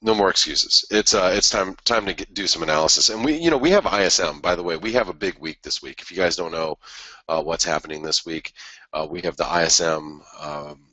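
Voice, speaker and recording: Stuffy, raspy low-voiced man. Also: a little fast, pushed and bright, dry recording. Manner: rambling, many restarts and fillers. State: stuffy nose from a head cold. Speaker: host of a trading webinar. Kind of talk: casual market analysis talk.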